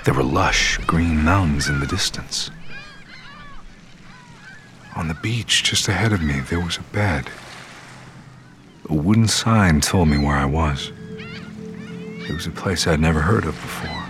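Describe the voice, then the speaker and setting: An actor playing a dreaming man who solemnly recounts a surreal journey in an audio drama, in a measured way. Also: very deep voice, background noise. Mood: awed, solemn. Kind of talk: first-person audio drama narration.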